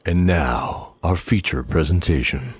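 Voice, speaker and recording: A man giving measured, dramatic scripted narration as storyteller and announcer. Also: deep voice, noisy background. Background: music bed.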